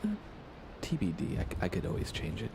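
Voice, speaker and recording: Soft-spoken male ASMR game streamer, deep and crackly, murmuring unhurriedly close to the mic over audible background noise. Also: very animated. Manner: gentle, unhurried, thinking aloud. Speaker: live streamer, host.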